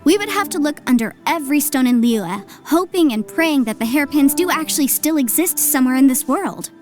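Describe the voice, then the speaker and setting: A woman's voice: high voice, animated, a little fast. A woman playing a shrewd, confident young legal adviser in an anime-style video game, articulate, explanatory and businesslike. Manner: articulate, explanatory, self-assured. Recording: soft music behind the voice. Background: game music.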